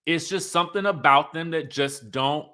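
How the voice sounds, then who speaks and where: Bright, higher-voiced man hosting casual commentary. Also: normal speed. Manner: animated, conversational, emphatic, often checking in with listeners. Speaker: host of an online TV review show. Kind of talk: casual livestream commentary to viewers.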